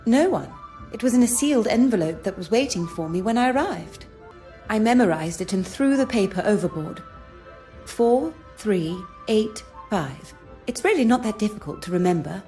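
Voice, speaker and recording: A woman acts a self-possessed society lady in a mystery game. Her voice is mid-pitched and articulate, her manner polite and composed, with faint music underneath. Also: lively intonation, normal speed, warm tone, natural voice. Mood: curt. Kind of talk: video game voice acting.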